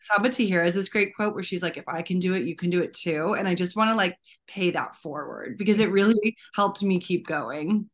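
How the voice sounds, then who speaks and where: A woman's voice: fairly low pitch, fairly quick, hardly any pauses. A woman in her thirties, an author on a panel, talking earnestly and with energy over a video call from home. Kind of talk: casual panel talk. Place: home room.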